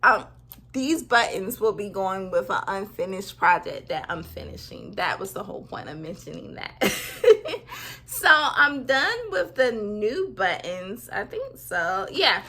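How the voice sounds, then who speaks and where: A woman's voice: mid-range voice, very animated, a little slow, sharp tone. A woman in her thirties, a sewing vlogger, chatting casually and giggling often as she talks to camera. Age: 30s. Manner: giggly, bubbly, lots of "like". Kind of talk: casual chat.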